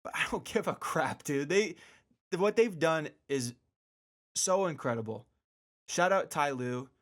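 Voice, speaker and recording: A man's voice: fairly high pitch, lively intonation, unhurried. A man hosting a casual solo radio show, talking informally with slangy asides and repeating words for emphasis. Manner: emphatic repetition for stress.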